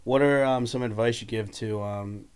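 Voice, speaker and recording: A man hosting a podcast, upbeat and a bit halting, with ums between phrases. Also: medium pitch, fairly even intonation, clear, resonant tone. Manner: enthusiastic, halting, with fillers. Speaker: podcast host. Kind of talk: casual interview chat.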